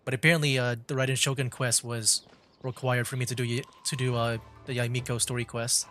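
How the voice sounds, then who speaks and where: A male streamer chats casually through a game in a medium-pitched voice. His commentary is informal and meandering, with faint music underneath. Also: level tone, a little fast, fairly bright tone. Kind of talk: casual gaming livestream commentary.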